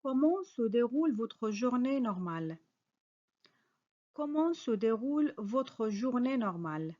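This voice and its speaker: High-voiced teacher woman drilling phrases. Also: big pitch swings, slow pace, warm tone, dull audio. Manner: careful, clearly spoken model sentences, each repeated. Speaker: French teacher. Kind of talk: slow repeated phrase drills with quick translations.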